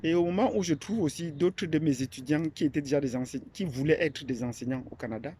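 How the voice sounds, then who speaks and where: A man's voice: fairly high pitch, fairly quick, mellow, a little hiss or hum. A man from Cameroon, an academic, talking unscripted and hesitantly about a platform he founded, with many fillers and restarts. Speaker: academic presenting his platform. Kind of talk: unscripted monologue.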